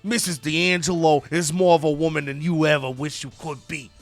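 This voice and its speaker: A man's voice: fairly high pitch, fairly even intonation, normal speed, soft background music, natural voice. A man voicing a proud, combative wrestler talking tough and indignant. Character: proud, combative male wrestler defending his mother.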